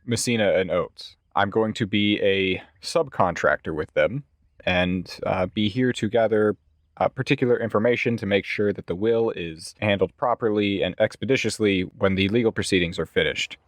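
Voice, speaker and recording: A man talks through his moves in a tabletop game. His voice is on the low side and deliberate, slightly hesitant, recorded very dry. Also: dry recording. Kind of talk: improvised actual-play game talk.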